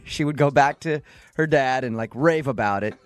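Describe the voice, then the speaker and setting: A man's voice: medium pitch, moderate pace. A man hosting a radio show, bantering and asking questions in a joking, teasing manner. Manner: teasing, jokey.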